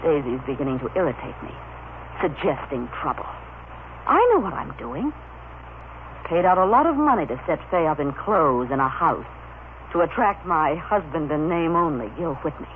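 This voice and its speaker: A woman playing a cold, calculating, sharp-tongued society wife in an old-time radio soap opera. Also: low-pitched, sing-song, normal speed. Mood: resolute, irritated. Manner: haughty, sharp-tongued, imperious. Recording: scratchy, narrow-band old radio recording.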